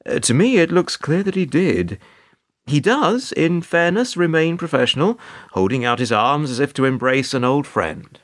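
Wry, lively-pitched British narrator. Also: male voice, moderate pace. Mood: sardonic. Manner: dry, hesitant, sardonic. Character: wry, weary older male narrator, reluctant bystander. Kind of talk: radio play narration and dialogue.

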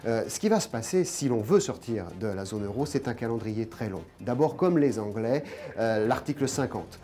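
Bright-voiced male economics journalist explaining. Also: medium pitch, expressive, moderate pace, faint music behind. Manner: didactic, step-by-step, emphatic. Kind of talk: explanatory news commentary to camera. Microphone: lapel mic.

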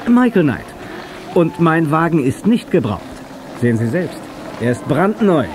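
An actor playing a confident, daring young race driver in an audio drama, on a noisy old cassette. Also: male voice, a little high, very animated, measured pace. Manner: self-assured.

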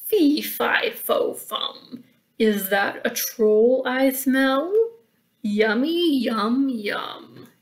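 A woman's voice: a little high, extremely slow. A woman voicing a hungry male giant who sniffs out a troll in a children's story. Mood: menacing.

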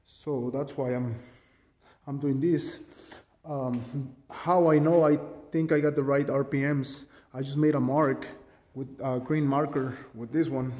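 A man's voice: mid-range voice, a little mellow, big-room sound. A man casually demonstrating a workshop tool modification, rambling and self-deprecating with many ums, filmed on a handheld phone in his garage. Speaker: DIY fabricator showing a tool mod. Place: home garage workshop, indoors. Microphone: handheld phone camera.

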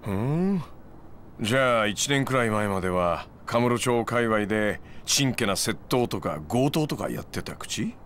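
A man's voice: fairly low pitch, lively intonation, measured pace, fairly bright tone, slight background noise. Smug, laid-back moneylender guy. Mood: probing, amused. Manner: glib, needling, casual lecturing. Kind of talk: video game cutscene acting.

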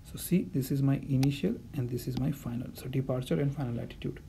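Male tutor, mellow and gravelly, patient step-by-step delivery. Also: mid-range voice, quick pace, a little hiss or hum. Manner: patient, step-by-step, repeats key points. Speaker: navigation instructor. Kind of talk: whiteboard-style tutorial explanation.

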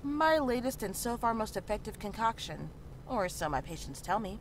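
A woman playing a calm, frank town healer in a horror visual novel, speaking in a measured, reassuring way. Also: medium pitch, rough recording. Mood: reassuring, practical. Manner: measured, matter-of-fact, reassuring. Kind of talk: visual novel character dialogue. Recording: clearly audible background noise, ambience.

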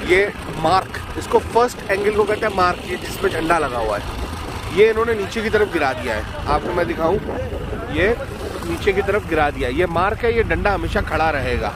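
A man's voice: high voice, animated, a little fast. A man in his thirties with a Pakistani Urdu accent, filming on his phone from a fishing boat at sea, explaining each step of the fishing. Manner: step-by-step explanatory, mixes in English terms. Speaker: fishing vlogger. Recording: loud engine noise behind.

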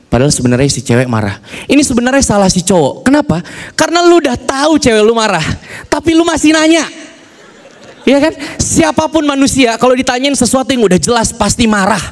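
A man's voice: very high voice, brisk. A young man performing a stand-up comedy set on a TV studio stage with a handheld mic, acting out voices as he tells his jokes. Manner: animated, acts out voices and dialogue.

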